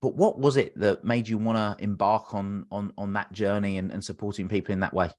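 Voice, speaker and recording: Male podcast host, low dry voice. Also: fairly even intonation, a little mellow, no room sound. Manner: reads guest bio, then halting with repeated words. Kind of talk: scripted intro then interview questions.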